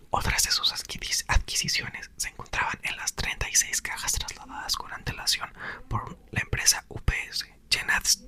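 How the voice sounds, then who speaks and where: Whispering man reading a storybook. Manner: soft whisper, occasional self-corrections. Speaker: ASMR reader. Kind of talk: whispered ASMR book reading.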